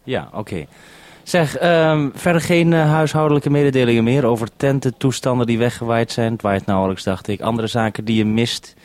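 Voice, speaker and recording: A man's voice: medium pitch, moderate pace, darkish tone. A man in a practical two-way radio exchange, speaking as a businesslike programme maker organising things.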